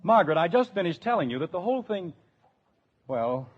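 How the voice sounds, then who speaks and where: Sitcom husband: stubborn, well-meaning, husky, very expressive, old-time radio acting. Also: male voice, sits high, brisk. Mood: defensive, coaxing. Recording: dull old radio recording.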